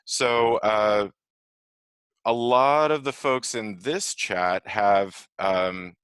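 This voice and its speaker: A man's voice: fairly low pitch, extremely slow, fairly bright tone, clean tone, no room sound. A man hosting and moderating an online panel discussion, speaking over a video call. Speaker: host.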